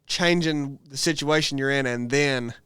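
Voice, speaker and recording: A man's voice: mid-range voice, moderate pace, pushed and bright, smooth, no echo, studio quality. An American man in a casual religious podcast discussion, talking in an emphatic, colloquial way as a host. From United States.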